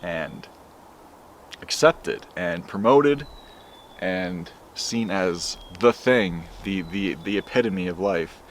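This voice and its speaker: A young man narrating a commentary video in a measured, lecturing way. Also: deep voice, animated, a little hiss or hum.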